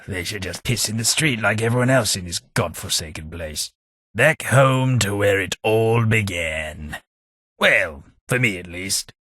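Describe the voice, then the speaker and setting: An English actor voicing a posh, sardonic ghoul gentleman companion in a video game, with a plummy, dry delivery. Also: on the low side, no echo, exaggerated voice. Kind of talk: game voice lines.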